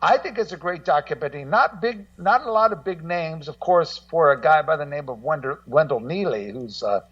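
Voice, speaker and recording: A man in casual radio conversation, rambling and halting as he repeats words and acts out what other people say. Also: fairly high pitch, animated, no room sound. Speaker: guest co-host and music documentary maker. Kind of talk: casual radio talk, telling anecdotes. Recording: muffled, slightly distant voice feed.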